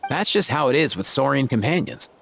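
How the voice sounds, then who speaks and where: An actor playing an easygoing young warrior in a game cutscene, talking in a relaxed, slangy way. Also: male voice, mid-range voice, a little fast, creaky, soft background music. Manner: laid-back, slangy.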